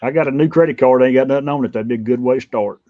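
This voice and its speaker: A man's voice: mid-range voice, racing, no room sound. A man in his fifties chatting casually as a guest in a group conversation. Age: 50s. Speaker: livestream guest. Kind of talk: casual chat. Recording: dull lo-fi dry sound.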